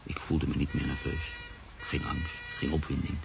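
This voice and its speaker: A brooding, anxious man in a radio drama. His voice is very low and gravelly, measured and sombre, on a slightly noisy recording. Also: little pitch movement, full character voice. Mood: sombre narration. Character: Freek, brooding anxious man, expectant father, narrating his story. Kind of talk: Dutch radio drama acting and narration.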